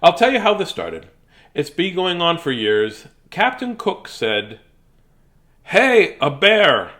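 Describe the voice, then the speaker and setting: A man voicing a peeved, furry marsupial from a storybook, indignant and emphatic, in a rough imitation Australian accent. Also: a little high, huge pitch swings, takes it slowly, fairly bright tone, voice pushed up, full character voice. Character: peeved furry male Australian marsupial protesting he's no bear.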